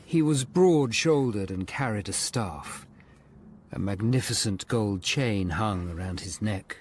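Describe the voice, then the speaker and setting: An English man in his forties playing a thoughtful, melancholy Tudor lawyer who narrates a radio drama in a measured, literate way. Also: on the low side, animated, a little hiss or hum. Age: 40s. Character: melancholy middle-aged hunchbacked Tudor lawyer, humane and thoughtful. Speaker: narrator and lead actor.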